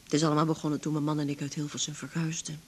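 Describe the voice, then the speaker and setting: Older dutch widow, deep-ish voice for a lady, tense n lonely, confides in fits and starts, radio play. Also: little pitch movement, racing. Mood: bitter, grieving. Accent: Netherlands Dutch. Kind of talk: naturalistic 1980s radio drama acting.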